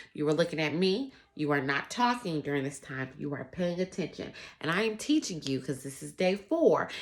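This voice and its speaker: A woman schoolteacher reading aloud and teaching children, instructive and emphatic, addressing them directly. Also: on the low side. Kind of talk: children's read-aloud lesson.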